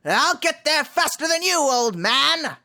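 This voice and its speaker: A woman's voice: high-pitched, very animated, a little slow, bright, forceful tone, full character voice. A woman voicing a cocky, theatrical masked hero in a cartoon comic dub.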